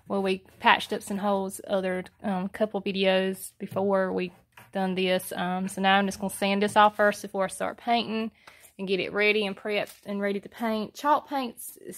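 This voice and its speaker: Soft-spoken Southern woman, hesitant. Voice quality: soft-spoken, talks low. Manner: hesitant, many ums, plain. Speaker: homestead vlogger. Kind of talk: casual how-to vlog. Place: at home, alone with a phone camera.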